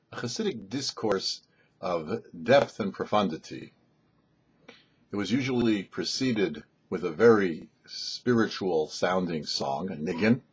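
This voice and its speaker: A dull, muffled lo-fi recording of a man narrating a story. His voice is on the low side and quite husky. He speaks deliberately, setting phrases apart with pauses. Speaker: rabbi and radio storyteller, host.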